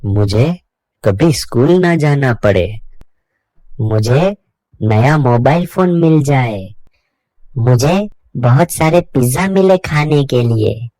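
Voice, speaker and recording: A woman's voice: very deep voice, expressive, slow pace, pauses often, mellow, no echo, full character voice. A woman voicing a mischievous, greedy child in a moral story cartoon. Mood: wishful. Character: mischievous, greedy young children, fearful of mother. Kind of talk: animated moral story dialogue.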